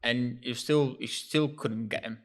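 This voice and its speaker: A man in his thirties with an Italian accent, chatting as a podcast guest in slightly broken English, relaxed and laughing often. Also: mid-range voice, a little slow. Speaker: guest footballer. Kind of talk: casual podcast chat.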